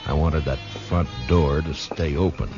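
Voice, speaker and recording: Deep-voiced grim American western marshal. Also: male voice, steady tone, moderate pace. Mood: grave, reflective. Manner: laconic, authoritative. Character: grim, steady US marshal in the Old West. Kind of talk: 1950s radio western acting and narration. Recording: dull vintage 1950s radio recording with music.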